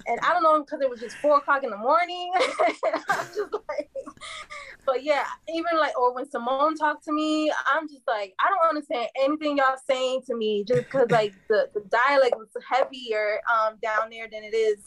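A chatty woman born in the Dominican Republic, whose first language is Spanish. Her voice sits a little high, and she laughs easily as she tells family stories in a relaxed conversation. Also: normal speed. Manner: chatty, laughs often while telling stories. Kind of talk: casual family chat.

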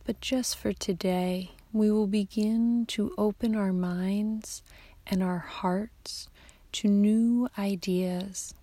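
A woman guiding a yoga nidra meditation in a soft, hushed, half-whispered voice, giving calm, soothing instructions. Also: mid-range voice, slow, dry recording. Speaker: meditation guide.

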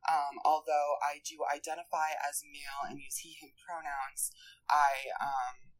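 A man with a high voice, a guest on a podcast, talking casually with many fillers and restarts. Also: level tone, measured pace, a bit bright, rough recording. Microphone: podcast mic.

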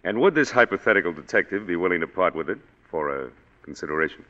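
An actor playing a smooth, condescending male psychic consultant in a 1940s radio drama, heard on a dull old broadcast recording with hall reverb. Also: deep voice, expressive, quick pace, a bit bright. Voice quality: smooth, pompous.

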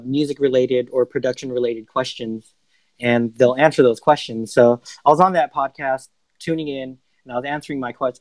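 Man, music producer, podcast guest, relaxed, lots of ums, dry close sound. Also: medium pitch, no echo, low-quality recording. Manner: relaxed, many um fillers. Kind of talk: casual interview answers.

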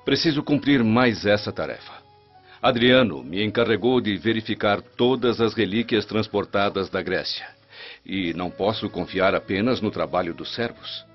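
Actor as a low-voiced, dutiful Roman official. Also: male voice, close to an everyday voice. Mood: firm, reassuring. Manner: measured, formal, reassuring. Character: dutiful Roman official, affectionate but firm husband and father. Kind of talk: radio soap opera acting. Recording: dull lo-fi radio drama with music.

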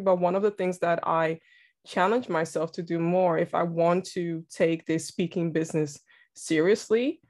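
A woman's voice: fairly low pitch, a little mellow. Dutch woman guest, reflective and upbeat. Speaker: podcast guest, entrepreneur. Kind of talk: casual interview conversation.

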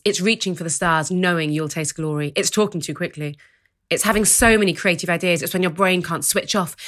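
A woman's voice: lowish voice, a bit bright. A woman performing a spoken-word theatre monologue in a dramatic, rhythmic, list-like delivery. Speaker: writer-performer.

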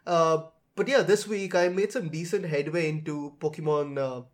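A man hosting a relaxed, conversational podcast about gadgets and games. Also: a little high, normal speed. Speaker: tech podcast host. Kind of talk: casual tech and gaming discussion.

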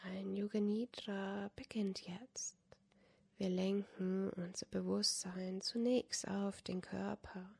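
Woman, meditation guide, hushed breathy tone, even pitch, soothing, unhurried. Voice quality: soft, breathy, whispery. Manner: calm, unhurried, soothing, with long pauses. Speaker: yoga nidra guide, podcast host. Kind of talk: guided meditation, soft instruction.

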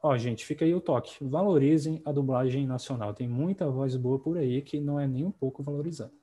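Mellow, husky-voiced male podcast host. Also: medium pitch, fairly quick. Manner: relaxed, conversational, many tag questions. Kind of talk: casual podcast chat.

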